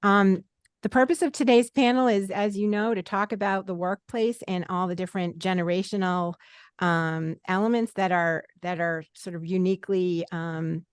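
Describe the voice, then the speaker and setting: A woman in her late fifties moderates a webinar panel in a conversational way. Her voice is clear and smooth, slightly on the low side, with a dry, close sound. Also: fairly even intonation, moderate pace, no echo.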